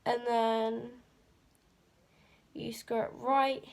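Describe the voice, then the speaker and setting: A teenage girl, chatting casually to camera in a vlog and rambling with fillers, her voice a bit croaky from a cold. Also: on the high side, little pitch movement, drawn-out words. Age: teens. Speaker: vlogger.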